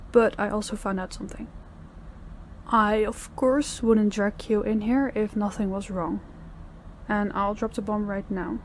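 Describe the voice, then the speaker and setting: A woman acting as a controlling female boss in an audio roleplay, speaking in a measured, polite and quietly pressing way. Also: medium pitch, a little hiss or hum, lightly acted. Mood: grave, courteous. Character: controlling, probing female crime boss, outwardly courteous.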